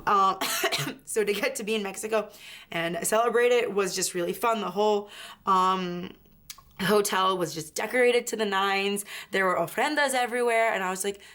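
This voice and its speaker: A woman's voice: medium pitch, moderate pace, fairly bright tone. A woman in her twenties chatting casually about her weeks in a planner flip-through, rambling and correcting herself as she goes. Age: 20s. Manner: rambling, self-correcting, lots of "like" and "um". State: recovering from illness, voice partly lost. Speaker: planner vlogger.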